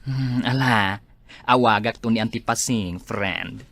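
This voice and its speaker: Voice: mid-range voice, slow pace, vocal fry, fairly bright tone, heavily acted. An actor playing a sympathetic, helpful friend in an Ilocano radio drama. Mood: sympathetic, reassuring.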